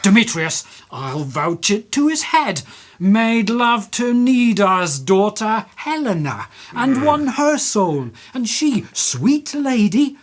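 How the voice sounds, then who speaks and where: A woman's voice: on the high side, very animated, slow, full character voice. A woman playing an ardent, proud young male lover, declaiming verse in a Shakespearean radio play. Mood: proud, defiant. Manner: declamatory, poetic verse delivery.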